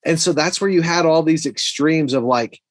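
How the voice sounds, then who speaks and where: A man's voice: fairly high pitch, moderate pace, dry recording. A middle-aged man co-hosting a podcast, chatting casually and offering commentary. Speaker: podcast co-host.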